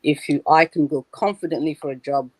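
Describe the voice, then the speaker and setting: A woman's voice: low voice, steady tone, a little fast, husky, no echo, rough recording. A woman in her sixties, a lawyer on a discussion panel, speaking in a firm and assertive way. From Britain.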